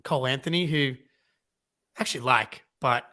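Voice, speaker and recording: A man's voice: mid-range voice, normal speed, pushed and bright. A young man co-hosting a live fan reaction stream, chatting casually and agreeing often with fillers. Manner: easygoing, agrees a lot, fillers like "yeah, dude" and "um". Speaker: fan channel co-host.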